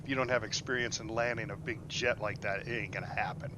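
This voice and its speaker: A man's voice: lowish voice, steady tone, fast, noisy background. A man streaming a space game, chatting casually with his chat, joking and laughing often. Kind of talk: casual live gameplay commentary.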